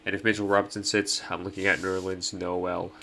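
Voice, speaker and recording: Young adult male host, nasal and stuffed up, low-pitched, loose casual delivery. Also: little pitch movement, fairly quick, vocal fry, pushed and bright, a little hiss or hum. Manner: laid-back, many ums and uhs. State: nasal from allergies. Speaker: fantasy sports host. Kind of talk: casual picks breakdown.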